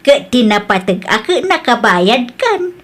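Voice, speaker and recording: A woman playing a forgiving older grandmother in an Ilocano family comedy drama, speaking in a measured way. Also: a little high, sing-song. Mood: grateful. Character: older grandmother, once proud, mellowed and forgiving.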